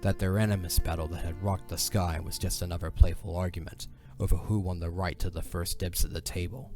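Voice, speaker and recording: A man giving a dramatic scripted narration in a solemn, measured way. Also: low-pitched, steady tone, over music.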